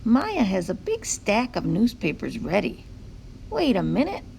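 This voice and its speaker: A woman voicing an eager, curious, easily worried pet dog in a children's picture book read-aloud, expressive and full of wondering. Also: medium pitch, a little slow, gravelly, slight background noise, heavily acted.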